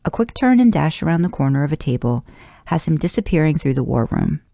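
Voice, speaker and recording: A woman narrates a story in the first person. Her voice sits low and mellow, with a creaky edge to it. The sound is dry, with very little room. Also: sing-song, fast talker, no room sound. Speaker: podcast host reading fan fiction aloud.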